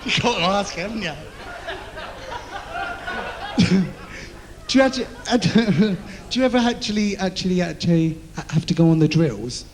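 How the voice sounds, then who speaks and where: A man's voice: high voice, lively intonation, moderate pace, pauses often. A man playing a cheeky, chatty, camp pantomime character, coaxing volunteers in live audience banter. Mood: cheeky curious. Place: theatre stage before an audience. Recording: live theatre recording, crowd noise.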